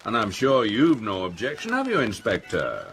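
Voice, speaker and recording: A self-important middle-aged industrialist in a radio drama. His low voice swings dramatically up and down as he blusters and brushes things aside, with faint music underneath. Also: wildly swooping pitch, normal speed, fairly bright tone, heavily acted. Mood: brisk, authoritative. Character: pompous middle-aged factory owner, self-important and dismissive. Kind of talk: radio play acting.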